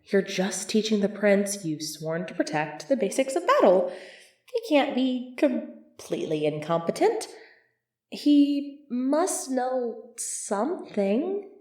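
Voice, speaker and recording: A woman's voice: a little high, big pitch swings, takes it slowly, darkish tone, no echo, clean studio sound, exaggerated voice. A woman playing an earnest, easily flustered medieval knight devoted to her prince, speaking politely and formally in an ASMR roleplay. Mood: nervous. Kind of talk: ASMR roleplay acting, formal old-fashioned speech.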